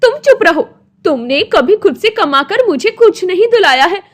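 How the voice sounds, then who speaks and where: A woman voicing a greedy, sharp-tongued village wife in a moral-story cartoon, with dramatic delivery. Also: very high voice, expressive, normal speed, pitched above the performer's own voice. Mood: taunting. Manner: taunting, dramatic.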